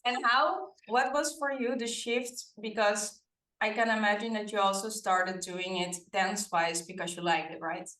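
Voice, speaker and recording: A woman hosting a podcast, heard from away from the mic in a roomy space. She asks her questions haltingly and restarts her sentences as she goes. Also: mid-range voice, fairly bright tone, recorded from a distance. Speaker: podcast host. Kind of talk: interview questions.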